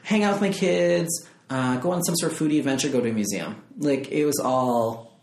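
A woman's voice: a bass voice, brisk, darkish tone, roomy sound. A woman in her late twenties co-hosting a casual podcast. She talks in a wry, sarcastic way, mocking what she quotes. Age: late 20s. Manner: wry, sarcastic, quoting others in put-on voices. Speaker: podcast co-host. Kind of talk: casual chat.

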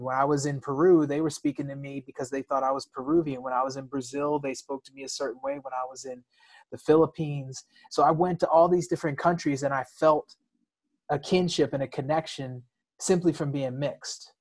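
A man from the United States talking candidly about himself in a conversation, as a guest, sometimes repeating words as he searches for phrasing. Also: medium pitch. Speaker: interview guest. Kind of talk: candid personal storytelling in conversation.

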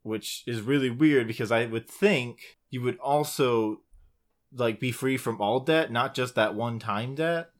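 A man's voice: medium pitch, a little slow. A man co-hosting a podcast, talking informally about video games and swearing casually. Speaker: podcast co-host.

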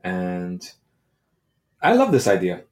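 A man's voice: low-pitched, huge pitch swings, slow pace, a little mellow, faint music behind. A man ranting casually on his own, crude and sarcastic, swearing freely and going off on tangents. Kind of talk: casual solo rant.

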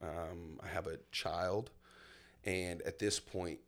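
A man in his thirties with a very low, deep voice talks through his own past in a relaxed conversation. He sounds candid and reflective, with plenty of "um"s and pauses as he tells it. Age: 30s. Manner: candid, reflective storytelling with many fillers. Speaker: guest sharing his testimony. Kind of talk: casual personal conversation.

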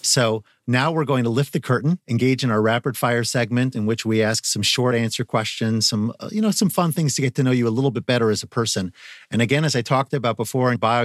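A man's voice: medium pitch, no room sound, studio quality. A middle-aged man hosting an interview podcast, encouraging and speaking with frequent fillers. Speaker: podcast host.

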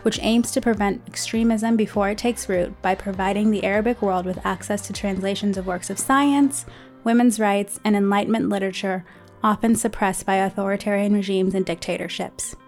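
A woman's voice: mid-range voice, steady tone, brisk, darkish tone. Woman podcast host, faint music behind. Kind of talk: scripted intro and interviewing.

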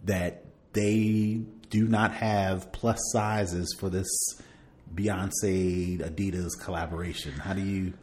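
A man co-hosting a podcast in casual chat, animated and emphatic, often repeating words to drive a point home. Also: low voice, takes it slowly, slight background noise. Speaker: podcast co-host.

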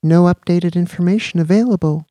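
A man voicing a calm, bland office computer that answers in a mechanical monotone. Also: high-pitched, brisk, no echo, pitched above the performer's own voice, lightly acted. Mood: flat. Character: bland, flat-toned male office computer.

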